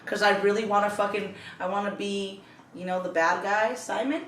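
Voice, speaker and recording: A woman's voice: mid-range voice, fairly even intonation, normal speed, fairly bright tone, a little hiss or hum. A woman on a podcast, brash and sassy, cursing freely as she trades jabs. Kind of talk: crude casual banter. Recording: echoey room, off mic.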